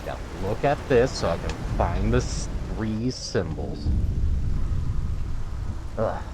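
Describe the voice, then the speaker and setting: A man with a lowish voice comments casually on the video game he is playing. He talks in slow, short muttered reactions, with very loud game noise behind him. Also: animated. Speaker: gamer, let's player. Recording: very noisy, game sound behind. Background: loud video game audio.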